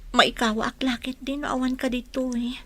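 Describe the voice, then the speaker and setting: A woman's voice: sits high, little pitch movement, normal speed, natural voice. A woman playing a sweet, wistful young newlywed wife in an Ilocano radio drama.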